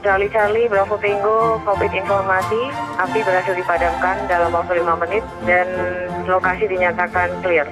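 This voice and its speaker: A man's voice: high voice, level tone, normal speed, rough recording. A man making clipped operational radio calls. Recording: work audio under clear music.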